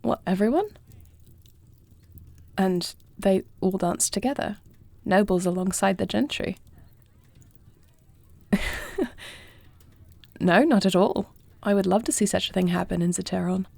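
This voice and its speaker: Woman playing a gentle warrior queen, mellow and creaky. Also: medium pitch, no echo. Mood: warm, curious. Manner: soft, measured, formal, breathy at times. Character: compassionate warrior queen in medieval fantasy, earnest and tender. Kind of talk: intimate scripted audio roleplay.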